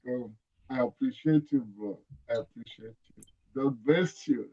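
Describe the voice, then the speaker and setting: A man's voice: medium pitch, normal speed, mellow. A man with a Nigerian accent, a guest speaking earnestly and emphatically on a video call, often repeating his phrases. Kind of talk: conversational interview. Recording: dull lo-fi call audio.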